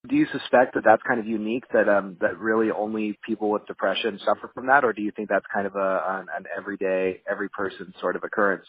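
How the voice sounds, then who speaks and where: A man with a low, husky voice hosts a conversational interview. He asks his questions in a hedging, searching way. The sound is a little dull and muffled. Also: steady tone, quick pace, few pauses, no room sound. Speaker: podcast host, interviewer.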